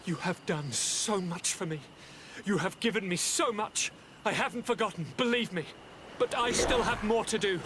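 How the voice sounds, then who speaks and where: A tormented young nobleman in a video game, fervent and theatrical. His voice sits fairly high, over clearly audible background noise. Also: moderate pace, fairly bright tone. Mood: fervent, pleading. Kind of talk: video game cutscene acting.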